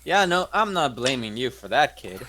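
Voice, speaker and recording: A man's voice: mid-range voice, very animated, moderate pace, bright, forceful tone, slight background noise. A man playing a tabletop game in character, brash and blunt, cursing freely. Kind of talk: in-character roleplay chat.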